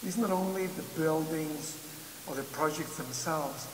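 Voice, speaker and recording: Older male church leader, slow and measured, recorded from a screen in a reverberant church. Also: a little high. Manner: slow, measured, with pauses and fillers. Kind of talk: recorded video message. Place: video played on a screen in a large church. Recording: echoey church hall with hiss.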